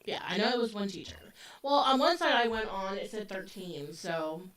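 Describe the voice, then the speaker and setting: A woman in her forties talks through a true-crime case in a loose, chatty way with plenty of fillers. Her voice is bright and forward, though she sits a little back from the microphone, so it sounds slightly distant. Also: mid-range voice, lively intonation, normal speed. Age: 40s. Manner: loose and conversational, with frequent fillers. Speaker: podcast co-host. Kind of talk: casual true-crime chat. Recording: voice a bit distant from the mic.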